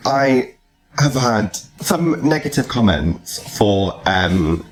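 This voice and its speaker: Low-voiced man chatting as podcast host. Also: sing-song, takes it slowly, soft background music, low-quality recording. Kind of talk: casual chat interview, animated storytelling.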